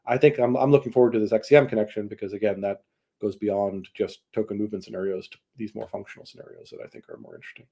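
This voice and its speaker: A man giving casual, explanatory interview answers as a tech executive, speaking in a halting, rambling way on a video call. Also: lowish voice, very animated, creaky, dark tone, husky. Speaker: tech company chief executive, guest.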